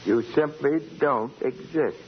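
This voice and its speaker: An actor playing a gentle, innocent old apprentice angel in a 1940s radio drama, hesitant and quaint in manner. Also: male voice, mid-range voice, takes it slowly, natural voice. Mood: earnest, mysterious. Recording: dull, hissy 1940s radio recording.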